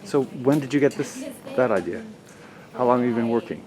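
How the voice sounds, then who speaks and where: A man's voice: mid-range voice, expressive, fairly quick, darkish tone, soft background music. A man interviewing people in a casual, curious way, asking questions with chatty asides. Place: busy studio workspace. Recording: crowd chatter and room noise behind.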